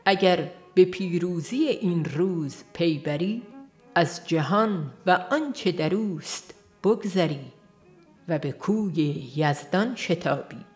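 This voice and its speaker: A woman with a somewhat low voice reads a devotional book aloud, unhurried and earnest. She presses gently on her rhetorical questions. Quiet music plays faintly behind her. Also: lively intonation. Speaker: audiobook reader. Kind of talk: scripted reading of a religious book.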